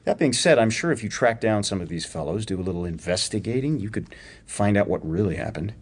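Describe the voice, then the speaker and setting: An actor playing a wry, probing academic research professor in a radio drama, with a dryly sardonic, precise way of talking. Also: male voice, fairly low pitch, expressive, fairly quick, slightly rough. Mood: probing, persuasive. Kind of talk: radio theatre acting, dramatic dialogue.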